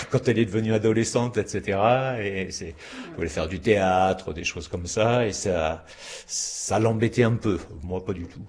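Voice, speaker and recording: A man with a southern French accent telling family stories in a relaxed, chatty way, with chuckling asides. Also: on the low side, normal speed. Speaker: interviewee. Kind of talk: casual reminiscing. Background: music.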